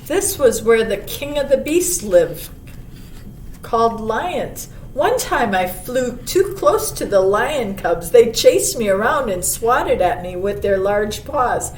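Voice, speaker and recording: A woman voicing a gentle, wise old male monarch butterfly in a storybook, telling a child of travels with unhurried wonder. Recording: roomy, distant mic, faint rumbling noise.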